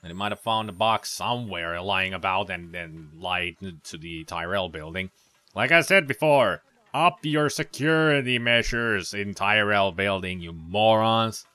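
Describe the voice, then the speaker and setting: A man's voice: lowish voice, measured pace, bright, forceful tone, no echo. A man giving casual, rambling commentary over gameplay, with sarcastic asides. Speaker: let's play commentator.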